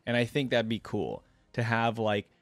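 A man's voice: medium pitch, fairly even intonation, moderate pace, no echo. A young adult man giving casual, chatty reaction commentary, thinking aloud and sharing his opinions as host. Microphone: close desktop microphone, headset on.

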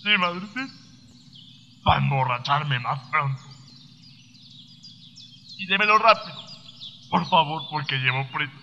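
Radio drama: male actor as destitute drunk widower, Mexican, imploring, very forceful tone, long reverb, faint noise behind. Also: a little high, measured pace, gravelly, pauses often, lo-fi sound, full character voice. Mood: grieving, urgent. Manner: pleading, wailing. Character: drunken grieving poor widower begging for burial money.

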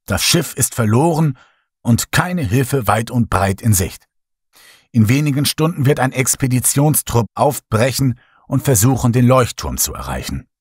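Man, dramatic horror audiobook narration, husky tone, very dry close sound, somber, measured suspense. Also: medium pitch, a little mellow, dry recording.

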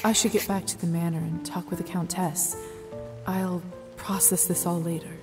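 A woman's voice: on the low side, flat delivery, moderate pace, close to an everyday voice. Woman as hesitant young vampire lady, music behind. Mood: earnest. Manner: hesitant, questioning, earnest. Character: hesitant, earnest young gentlewoman, newly turned vampire. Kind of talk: video game voice acting.

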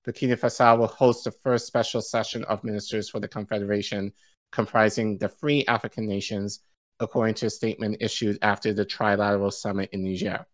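Low-voiced man reading news on stream. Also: level tone, fairly quick. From Igbo-speaking background. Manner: stumbles over foreign names, laughs easily. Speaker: news show co-host. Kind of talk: reading news articles aloud and chatting. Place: home studio, indoors.

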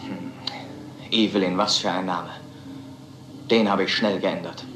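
Cold-blooded male villain: lowish voice, smug, mockingly polite, crime radio drama, echoey room. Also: steady tone, unhurried, background noise. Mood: smug, menacing. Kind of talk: German crime radio play acting.

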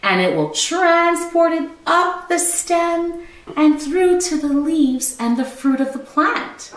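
A woman in her thirties reading a storybook aloud to young children as a teacher, with expressive emphasis and explanations. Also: extremely high pitch, slow, distant mic. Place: small living room at home, indoors. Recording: roomy echo, away from the mic.

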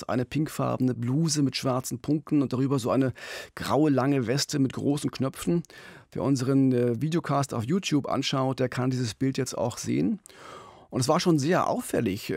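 A man's voice: medium pitch, gravelly, darkish tone, no room sound. A middle-aged man telling a true-crime story as a podcast host, close on a studio mic. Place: small radio studio. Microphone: close studio mic on boom arm.

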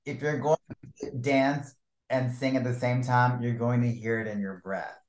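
A man's voice: medium pitch, fairly even intonation, moderate pace, slight background noise. A man from Virginia, a musician guest speaking in an earnest, open way as he builds on his partner's points. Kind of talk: casual interview. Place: indoor room with patterned wall. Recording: roomy echo.

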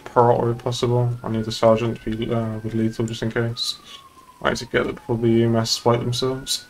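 A man's voice: medium pitch, monotone, a little fast. A young man improvising police roleplay while streaming a game. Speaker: streamer playing a police officer. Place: indoor home streaming setup. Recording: faint noise behind the voice.

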